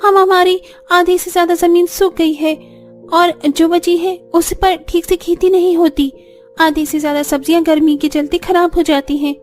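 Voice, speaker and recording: A weary young cartoon farm wife with an extremely high, soft-toned voice, played by a woman. She laments plaintively about a hard village life, discouraged and worn down, over music. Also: fairly even intonation, normal speed. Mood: worried. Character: weary, discouraged young farming daughter-in-law in a poor village.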